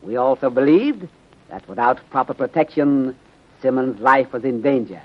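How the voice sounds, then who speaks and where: A man playing a wise, courteous middle-aged Chinese detective in 1930s radio drama, speaking formally and deliberately in clipped sentences that drop their articles. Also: mid-range voice, lively intonation, close to an everyday voice. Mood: grave, resolute. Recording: dull muffled 1930s radio recording.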